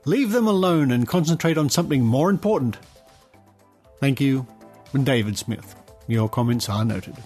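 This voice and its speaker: Australian man, podcast host, deeper-than-middle or middle pitch, measured scripted news reading, deliberate phrasing, light music behind. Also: a little mellow. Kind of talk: scripted news commentary, reading reports aloud.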